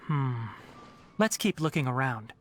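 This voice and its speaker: A man's voice: mid-range voice, animated, a little hiss or hum, natural voice. A man voicing a composed young scholar. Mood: thoughtful. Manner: measured, composed, slightly dry. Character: calm young male scholar and forest ranger, composed. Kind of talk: game voice acting.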